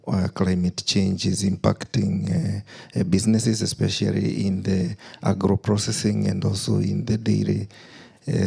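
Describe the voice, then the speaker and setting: A Kenyan man speaks on a conference panel in a very low, flat voice, as a company CEO. His delivery is slow and halting, full of "uh" pauses. The tone is gravelly and dark, with a husky edge. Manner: halting, heavy with "uh" fillers. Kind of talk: formal panel remarks.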